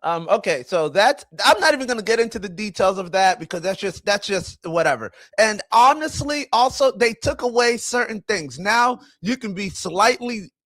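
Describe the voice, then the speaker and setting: A man's voice: high voice, lively intonation, moderate pace, hardly any pauses, bright, forceful tone, dry recording. A man, hosting a casual livestream, rambling and greeting his chat as he goes. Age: 40s. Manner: rambling, talks to chat, self-correcting. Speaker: livestream host.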